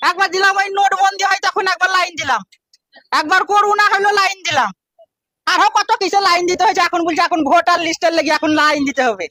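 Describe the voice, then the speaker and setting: Aggrieved old rural West Bengal villager played by a man, extremely high, bright voice, comic skit grumbling. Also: fast talker, no room sound. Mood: weary grumbling. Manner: complaining, repetitive rhetorical questions. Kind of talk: comic social skit acting. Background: faint music.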